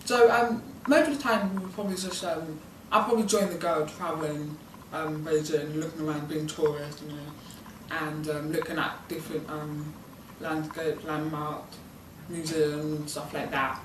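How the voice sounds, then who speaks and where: British female student intern, 19, BSL first language, slightly low voice, halting informal delivery; distant, noisy pickup. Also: steady tone. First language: British Sign Language. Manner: hesitant, rambling, many ums and likes. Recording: echoey room, distant mic, background noise.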